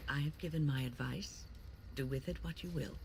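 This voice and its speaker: An actress playing an older woman mage in a fantasy video game, speaking in formal, measured phrases as an earnest, kindly counselor. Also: a bass voice, noisy background. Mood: grave, admonishing. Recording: game music behind the voice.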